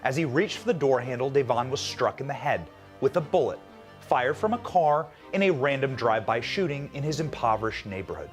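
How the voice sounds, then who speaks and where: A man's voice: mid-range voice, animated, a little fast, soft background music. A man delivering a scripted political monologue as a commentator.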